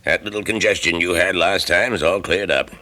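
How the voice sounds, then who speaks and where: A man's voice: low-pitched, fairly quick, sharp tone. An actor in an old-time radio drama playing a genial, folksy male family doctor with an easygoing manner.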